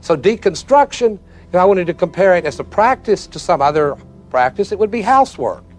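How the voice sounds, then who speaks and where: A man's voice: high voice, lively intonation, brisk, faint music behind. A man giving a spoken lecture in a folksy, emphatic manner, repeating phrases for effect. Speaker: philosophy lecturer.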